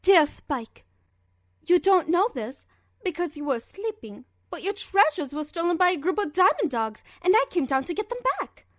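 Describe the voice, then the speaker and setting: Elegant cartoon pony fashionista, very high voice, theatrical, lovestruck, effusive, dry close sound. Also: female voice, very animated, moderate pace, a bit bright, no room sound, exaggerated voice. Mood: tender, resolved. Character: refined, dramatic, lovestruck young female pony fashionista. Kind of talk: fan audio drama letter reading.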